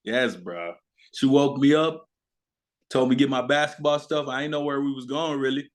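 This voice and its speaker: A man's voice: medium pitch, moderate pace. Young man, casual storytelling. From born in South Sudan, grew up in Memphis, USA. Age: young adult. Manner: informal, slangy. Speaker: guest, basketball player.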